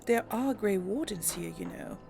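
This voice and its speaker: Woman game streamer, casual and chatty; lively pitch, vocal fry. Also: normal speed, slight background noise. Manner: casual, chatty, laughs often. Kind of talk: casual let's-play commentary with in-character lines.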